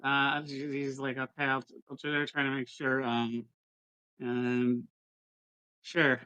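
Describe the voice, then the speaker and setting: A man with a bright, forceful, mid-pitched voice talks casually as a player in a roleplaying game. He has a nerdy, technical bent and explains things in stops and starts. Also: little pitch movement, slow, clean tone, dull audio. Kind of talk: casual chat.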